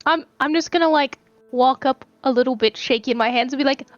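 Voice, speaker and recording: Female player, high and excitable, dull lo-fi mic. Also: brisk, dry recording, soft background music. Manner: excitable, animated. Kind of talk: tabletop game play.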